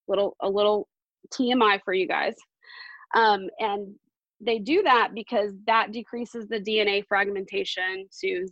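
An earnest woman around forty shares a personal story as a podcast guest, pausing often with fillers. Her voice sits at an ordinary pitch. The recording is dry and a little dull. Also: a bit bright, no echo. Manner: earnest, halting, with many fillers.

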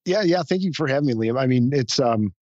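A hoarse man who is a guest on a podcast, chatting modestly with frequent restarts. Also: medium pitch, lively intonation, fairly quick, darkish tone, no room sound, highs cut off. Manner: modest, hesitant, many restarts. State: hoarse, recovering from illness. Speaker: podcast guest, hobby photographer. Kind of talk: casual interview chat.

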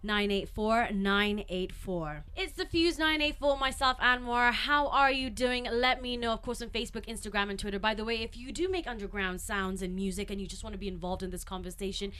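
A woman's voice: mid-range voice, a little fast, hardly any pauses, pushed and bright, clear, resonant tone. A woman hosting a radio talk show, chatty and upbeat, plugging the station and asking the guest questions. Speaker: radio host.

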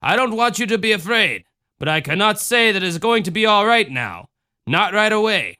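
A man voicing a gentle, solemn motherly woman who comforts a child, speaking slowly and deliberately. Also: high voice, clear, resonant tone, voice pushed up, heavily acted. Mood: comforting. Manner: slow, calm, deliberate speech.

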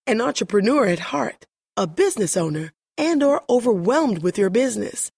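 A woman's voice: a little high, a little mellow, husky. A woman announcer reading scripted promotional copy for a radio advertisement.

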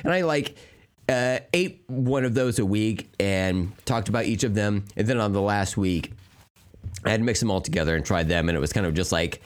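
A man with a hoarse voice co-hosting a podcast, rambling and joking with lots of fillers and restarts. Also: lowish voice, normal speed. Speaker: podcast co-host. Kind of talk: casual chat. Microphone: remote call mic.

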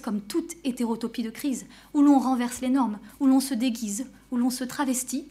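A woman's voice: on the high side, warm tone. A woman researcher presenting at a conference panel, then answering questions with frequent restarts and hesitations. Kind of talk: academic conference talk and Q&A.

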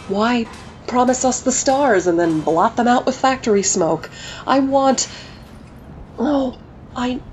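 Cynical journalist, blunt, profane, scornful, slightly dull audio, faint hiss. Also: female voice, a little high, a little mellow. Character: cynical fiery woman journalist, furious at corporate rulers. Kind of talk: audio drama acting.

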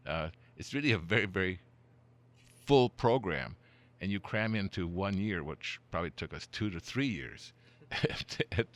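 An older man hosting a podcast interview, chatty and rambling, chuckling now and then and restarting his sentences. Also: low-pitched. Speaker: podcast host. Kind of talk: casual interview.

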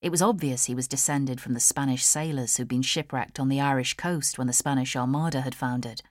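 Low-pitched British woman narrating. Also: fairly even intonation, brisk, no room sound, studio quality. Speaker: audiobook narrator. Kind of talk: scripted fiction narration. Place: studio.

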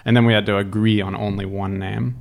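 A man's voice: fairly low pitch, flat delivery, fairly quick, creaky. A man talking casually as a guest, telling personal stories in a wry, self-deprecating way. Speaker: guest storyteller.

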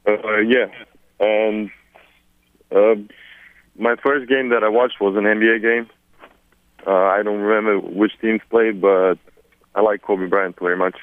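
A Serbian man in his twenties, a newly drafted basketball player, answering press questions in halting, repetitive English. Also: lowish voice, level tone, dry recording. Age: 20s. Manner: halting, many repeated words. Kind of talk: phone press conference answers. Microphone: phone. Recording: tinny phone line.

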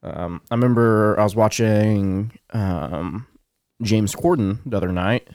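A man's voice: on the low side, measured pace, a little mellow, no room sound, clean studio sound. A man co-hosting a podcast, rambling casually with many fillers and self-corrections, a bit sniffly. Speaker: podcast co-host. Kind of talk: casual chat.

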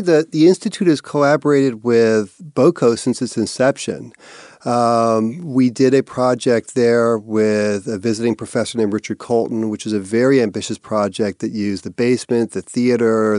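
A man's voice: lowish voice, darkish tone, no echo, studio quality. A man from Massachusetts, an arts director, answering interview questions in a thoughtful way, often searching for words and restarting phrases. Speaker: artistic director of an arts institute.

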